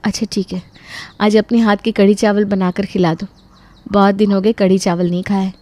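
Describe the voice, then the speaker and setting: A woman's voice: medium pitch, flat delivery, moderate pace, dark tone, lightly acted. A woman voicing a young married daughter in a cartoon story, polite but easily wounded and given to complaint. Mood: resigned.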